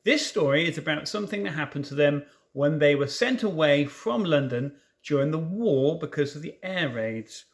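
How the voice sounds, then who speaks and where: A man reading a children's story aloud in an expressive way, with informal asides to listeners. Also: fairly high pitch, normal speed, hardly any pauses. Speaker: storybook reader.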